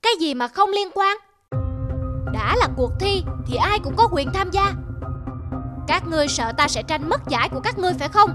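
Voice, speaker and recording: A woman's voice: very high voice, lively intonation, moderate pace, long pauses. A woman voicing an arrogant, boastful big male eagle in a cartoon, speaking with haughty scorn and threats. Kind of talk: cartoon voice acting. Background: loud music.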